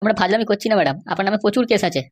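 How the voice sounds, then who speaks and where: A woman's voice: medium pitch, animated, rapid-fire, lightly acted. A woman voicing a sly, insistent male schemer and constable in an amateur comedy cartoon. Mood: dismissive, insistent.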